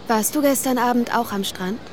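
A young woman playing a curious, forthright, gently teasing teenage girl in a naturalistic audio drama, with a direct, questioning manner. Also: a little high, moderate pace, natural voice. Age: young adult. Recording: outdoor ambience, faint traffic noise.

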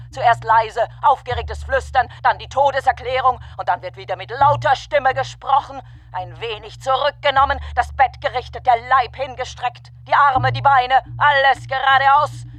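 A woman's voice: sits high, a bit bright. An actress playing a grieving woman who questions a death in fragmentary phrases, in a literary radio monologue.

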